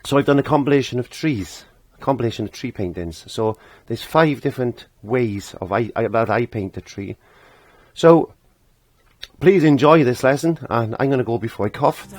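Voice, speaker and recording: A hoarse, croaky man from Wales, middle-aged, talking through an acrylic painting lesson with plain step-by-step remarks as he works. His voice sits at an ordinary middle pitch. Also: expressive, normal speed, darkish tone. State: hoarse from laryngitis. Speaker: art teacher. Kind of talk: painting tutorial.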